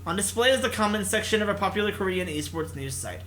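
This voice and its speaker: A man hosting a let's play, reading a visual novel aloud in an expressive narration. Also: high-pitched, fast talker, a little hiss or hum. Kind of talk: expressive read-aloud narration with casual asides.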